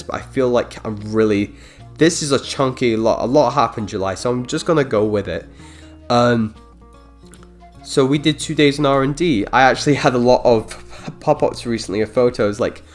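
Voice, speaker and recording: A man from Scotland talking casually to his vlog in an unscripted, rambling way, often interrupting himself and thinking aloud. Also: mid-range voice. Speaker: vlogger, dance choreographer and producer. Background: background guitar music.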